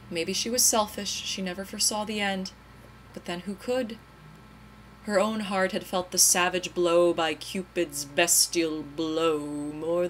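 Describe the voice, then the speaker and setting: A woman performs a dramatic reading with theatrical, mock-tragic emphasis. Her voice sits at an ordinary middle pitch for a woman. It is an amateur recording with some noise behind her. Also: a bit bright, rough recording. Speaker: reader. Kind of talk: melodramatic dramatic reading of overwrought prose.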